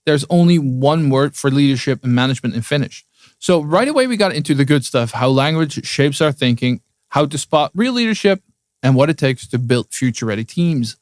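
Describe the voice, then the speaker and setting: A man's voice: mid-range voice, animated, fairly quick, dry recording, clean studio sound. A man co-hosting a podcast, introducing the episode in an enthusiastic, promotional way at a conference. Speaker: podcast co-host. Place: conference venue.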